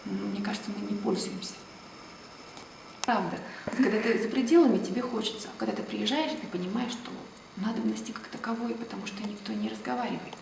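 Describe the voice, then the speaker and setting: A woman from Russia in an interview, answering reflectively and unhurriedly, often trailing off into a soft, breathy tone. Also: medium pitch, fairly quick. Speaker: ballet dancer, interviewee. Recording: roomy, distant mic, noisy background.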